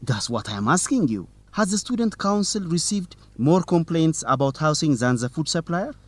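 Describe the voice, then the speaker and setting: Young student journalist in a radio drama, polite and formal, up-and-down intonation, really dry audio. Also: male voice, fairly high pitch, animated, moderate pace, no echo. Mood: probing. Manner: formal, courteous, probing. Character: polite, persistent young male student newspaper reporter. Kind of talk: scripted educational radio drama, interview dialogue.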